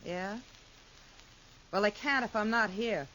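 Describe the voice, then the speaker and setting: Actress as a vain, scheming frontier widow, coy then scornful, old radio play. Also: female voice, medium pitch, slow, background noise, close to an everyday voice. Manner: coy and sweet, turning sharp and scornful. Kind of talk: 1950s radio drama acting.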